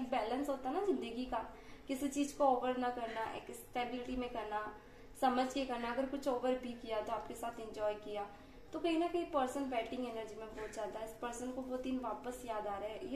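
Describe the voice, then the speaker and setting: A woman with a slightly hoarse voice reads tarot cards for her viewers, talking chattily with frequent filler pauses. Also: high-pitched, darkish tone, echoey room, slight background noise. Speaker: tarot card reader. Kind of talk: hesitant tarot reading to viewers.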